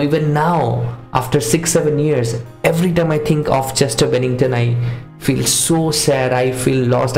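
A man's voice: mid-range voice, darkish tone, echoey room. A man in his thirties hosting a music reaction video, chatting casually and earnestly to viewers as a non-native English speaker. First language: not English or Hindi, another mother tongue. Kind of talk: casual chat to camera. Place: small home studio room with posters. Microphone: studio condenser microphone on boom arm.

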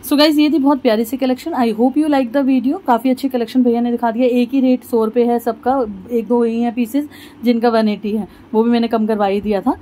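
A woman's voice: on the high side, steady tone, fairly quick, warm tone, poor audio. A woman vlogging casually from a fabric shop in a market, chatting to her viewers and calling them guys. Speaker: shopping vlogger. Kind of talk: casual shopping vlog.

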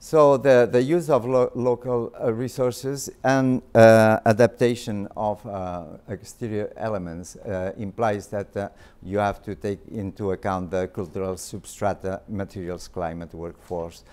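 A man's voice: fairly low pitch, steady tone, a little slow, darkish tone, smooth. A middle-aged man with a French accent, a professor giving an academic lecture with many hesitations, fillers and restarts. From Spain. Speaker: visiting art history professor. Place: lecture hall.

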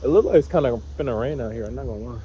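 A man's voice: medium pitch, animated, rapid-fire, dark tone, dull audio, a little hiss or hum. Playful young man vlogging on a jet ski. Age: young adult. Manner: playful, teasing, slangy. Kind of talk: casual vlog chat. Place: outdoors on a jet ski at sea.

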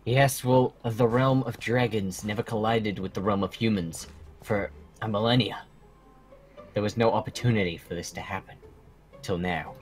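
A man's voice: fairly low pitch, normal speed, close to an everyday voice. A man voicing a stern, protective dragon guardian who instructs young fighters solemnly and formally in a Minecraft roleplay. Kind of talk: improvised Minecraft fantasy roleplay. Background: music.

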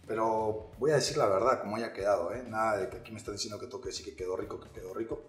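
A man's voice: lowish voice, fairly even intonation, fast talker, roomy sound, soft background music. A young man presenting a recipe in a casual, playful cooking segment, talking straight to his viewers. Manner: upbeat, playful, addressing viewers directly.

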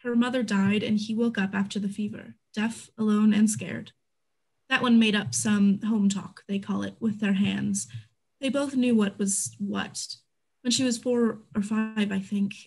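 A woman's voice: medium pitch, a little mellow, close to an everyday voice, poor audio. A woman in a play reading, voicing a candid, proud businesswoman of an Old West town. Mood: confiding. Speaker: actor in an online staged reading. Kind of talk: scripted stage play reading. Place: indoors at home, on a group video call.

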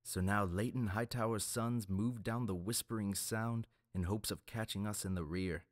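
A man's voice: fairly low pitch, fairly even intonation, moderate pace, pitched above the performer's own voice, close to an everyday voice. A man voicing a blunt, gloating male pirate captain in an audiobook.

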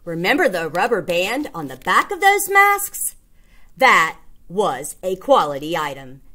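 A woman's voice: on the low side, wildly swooping pitch, unhurried, bright, forceful tone. A woman reading a children's picture book aloud, expressively and with emphatic delivery. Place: indoors, small classroom or office.

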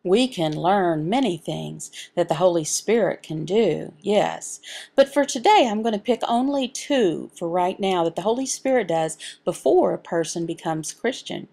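A woman voicing a signed sermon as interpreter, in a halting, phrase-by-phrase delivery. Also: lowish voice, expressive, vocal fry, dark tone. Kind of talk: sermon voiced from sign language.

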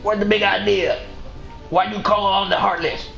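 A man's voice: high voice, level tone, normal speed, vocal fry, bright, forceful tone, pitched above the performer's own voice, full character voice. A man voicing an indignant, nervous cartoon duck sidekick from a video game, blustery and worried. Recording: roomy echo, game music behind.

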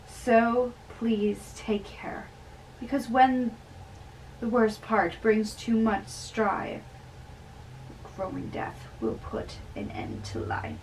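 A young woman reads a play aloud at a slow, theatrical pace. She is some way from the camera mic in a bedroom, with faint noise in the recording. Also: mid-range voice, far from the mic, rough recording. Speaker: amateur reader of a play. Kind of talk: dramatic reading of a play. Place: small bedroom, indoors, seated on a bed. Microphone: camera a few metres away.

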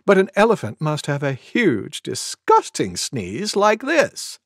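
A man's voice: fairly high pitch, wildly swooping pitch, no room sound, clean studio sound, full character voice. A man voicing a prim, polite, sniffy deer in a storybook. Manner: prim, fastidious.

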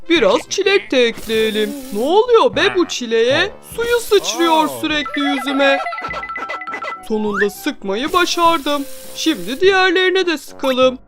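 A woman's voice: high-pitched, big pitch swings, a little slow. A woman voicing a cheerful animal parent in a dubbed children's cartoon, playfully narrating what happens. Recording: music behind.

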